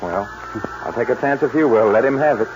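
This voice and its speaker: An actor playing a cautious but game American cowboy sidekick, folksy and exclaiming, in a 1940s radio western. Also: male voice, medium pitch, animated, a little fast, natural voice. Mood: nervous, game. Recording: noisy, muffled 1940s radio recording.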